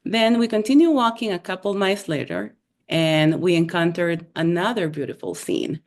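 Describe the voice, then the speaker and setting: A woman from Honduras telling a personal story over a video call, in an unhurried way with small fillers. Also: lowish voice.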